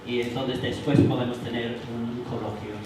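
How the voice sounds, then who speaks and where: A man with a foreign accent, not a native speaker, leading a church workshop for adults, halting with many fillers and restarts. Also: medium pitch, little pitch movement. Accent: foreign accent in Spanish. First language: not Spanish. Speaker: workshop leader. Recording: roomy hall, mic from far, noisy.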